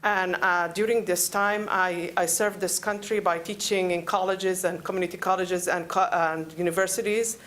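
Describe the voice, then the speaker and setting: A woman in her forties from Palestine with a Palestinian Arabic accent, making an unscripted plea at a council meeting, halting and often searching for words. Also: fairly low pitch, steady tone, a bit bright. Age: 40s. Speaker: public commenter at a council meeting. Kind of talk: unscripted public speech, pleading.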